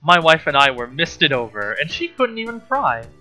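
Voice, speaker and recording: A man's voice: fairly high pitch, very animated, moderate pace, pushed and bright, soft background music. A man reading aloud and commenting off the cuff as a host, sarcastic and incredulous, with exasperated, profane asides.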